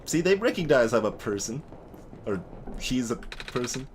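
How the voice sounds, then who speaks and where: A man's voice: mid-range voice, sing-song, moderate pace, a little hiss or hum. A man giving casual video game commentary, thinking aloud as he plays. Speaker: let's play host.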